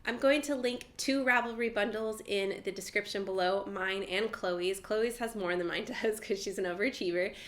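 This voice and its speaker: A woman's voice: mid-range voice, normal speed, hardly any pauses. Chatty, slightly nasal woman. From Southern California. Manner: friendly and chatty, frequent "like" fillers and light laughs. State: stuffy nose from a cold. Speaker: knitting vlogger, host. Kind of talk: casual chatty vlog.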